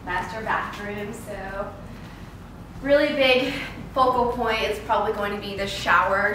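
A woman's voice: medium pitch, fairly bright tone. A young American woman giving an enthusiastic, casual house tour as a vlogger. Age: young adult. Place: bare framed house interior, indoors. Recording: echoey empty room, distant mic, clear noise.

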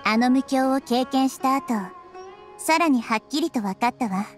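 Child-like deity played by a woman, anime game: high-pitched, gentle, thoughtful, measured delivery, music behind. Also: close to an everyday voice. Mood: reflective. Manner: measured, soft-spoken, reflective. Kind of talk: Japanese anime game voice acting.

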